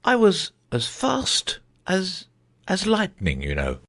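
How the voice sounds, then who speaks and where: Guy putting on a rambling old knight inventor, husky, voice swooping all over. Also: slow pace, exaggerated voice. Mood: musing. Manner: rambling, thoughtful, lively pitch swings.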